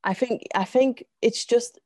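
A woman, a guest on a casual podcast, talking with many ums and likes and getting animated in bursts. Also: fairly high pitch, measured pace, dry recording, clean studio sound. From North West England. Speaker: interview guest, zine co-founder.

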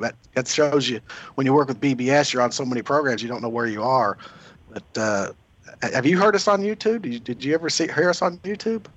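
A man hosting a radio talk show, slightly hoarse and chatty, often correcting himself mid-sentence. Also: medium pitch, a bit bright. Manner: rambling, self-correcting, chatty. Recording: slightly dull lo-fi radio audio.

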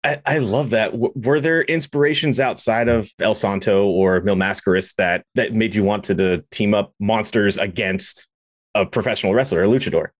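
A man's voice: on the low side, normal speed, no room sound, studio quality. A man hosting a podcast in a casual interview style, chatty and enthusiastic. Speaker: podcast host.

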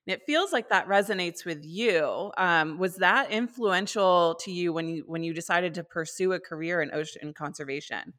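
A woman co-hosting a podcast, asking smooth, reflective questions in a casual chat. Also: lowish voice, normal speed, hardly any pauses, fairly bright tone. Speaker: podcast co-host.

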